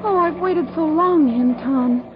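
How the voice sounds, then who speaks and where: A woman's voice: sits high, a little slow. An actress playing a frail, feverish young woman who pleads and clings desperately, in 1950s radio melodrama style. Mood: yearning relief. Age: young adult. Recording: muffled old radio recording, music behind.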